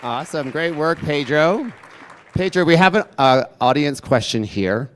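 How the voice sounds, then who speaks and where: A man's voice: on the high side, lively intonation, measured pace, some chatter behind, a little hiss or hum. A man moderating an event, relaying audience questions to presenters.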